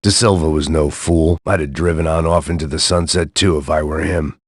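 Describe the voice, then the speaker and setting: A man playing a jaded, middle-aged American action hero, narrating a noir video game in a gravelly, sardonic deadpan. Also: extremely low pitch, normal speed, no room sound. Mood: weary, brooding. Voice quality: gravelly, husky. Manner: world-weary, sardonic, deadpan. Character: jaded hard-drinking middle-aged male action hero, cynical and bitter.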